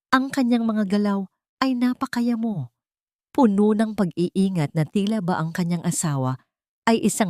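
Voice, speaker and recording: A woman's voice: lowish voice, darkish tone, no room sound, studio quality. A woman reading dramatic scripted narration of a love story.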